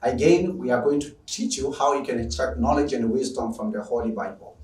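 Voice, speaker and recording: A man's voice: mid-range voice, brisk, a little mellow, reverberant, far from the mic, rough recording. A Tanzanian-accented man opening an online lecture, enthusiastic and repetitive. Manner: enthusiastic, repetitive, long-winded. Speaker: online teacher. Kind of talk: lecture introduction.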